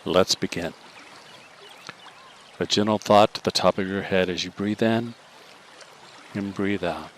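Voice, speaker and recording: A man leading a guided meditation, giving slow, calm instructions with long pauses between them. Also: low-pitched, slight background noise.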